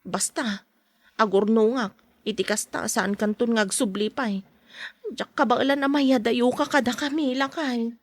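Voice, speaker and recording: Actress as secretive wife and mother, podcast drama. Higher husky voice, soft and confiding, close dry sound. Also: steady tone, normal speed, no echo, natural voice. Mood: tender. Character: married mother at home, drawn into a secret affair. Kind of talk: Philippine podcast radio drama acting with narration.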